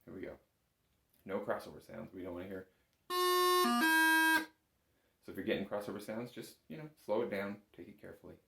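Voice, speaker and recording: A man's voice: deep voice, sing-song, moderate pace, vocal fry, long pauses. A man giving a patient, careful step-by-step music lesson as an instructor, recorded in an echoey room. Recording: roomy, echoing room sound.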